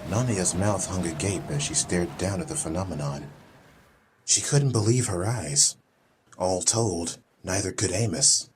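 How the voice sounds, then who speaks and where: A man narrating a fantasy audiobook in a dramatic, measured, literary storytelling style. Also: sits low, background noise. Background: music.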